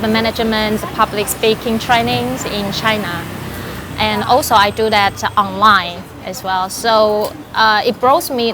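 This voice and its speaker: A woman from Taiwan, a life and business coach chatting in an interview with many fillers and light laughs. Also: medium pitch. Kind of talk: casual interview answers. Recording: noisy background.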